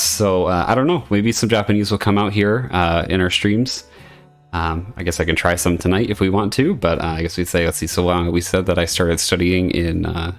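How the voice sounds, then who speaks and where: Male streamer, deep and gravelly, earnest and hesitant, casual home chat. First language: English.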